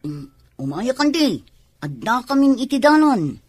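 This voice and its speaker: Husky, hesitant young niece. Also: female voice, fairly high pitch, very animated, unhurried, darkish tone, dry recording, exaggerated voice. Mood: apologetic. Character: reluctant, polite young working niece. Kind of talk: radio drama acting.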